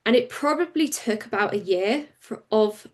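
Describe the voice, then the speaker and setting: A woman, a campaigner and interview guest, speaking frankly and openly in a relaxed conversation. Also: fairly high pitch. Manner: open and frank, quick and animated when recounting.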